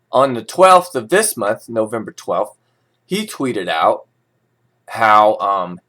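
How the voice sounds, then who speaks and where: A man's voice: mid-range voice, a bit bright. A man reading financial news as an informal host, breaking into sarcastic, opinionated and folksy asides.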